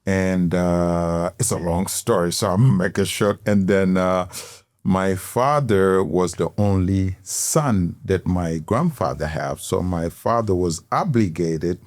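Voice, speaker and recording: A middle-aged man from Senegal, a guest telling his story in an interview, unhurried and halting with many fillers. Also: low voice, darkish tone, no room sound, clean studio sound. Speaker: guest, tailor. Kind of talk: interview conversation.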